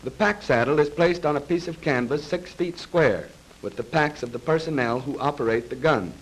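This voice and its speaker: A man's voice: a little high, husky, low-quality recording. Formal man narrating a 1930s training film. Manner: formal, measured, precise. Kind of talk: 1930s military instructional film narration, scripted. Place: voice-over recorded for a film. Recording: vintage 1930s film soundtrack.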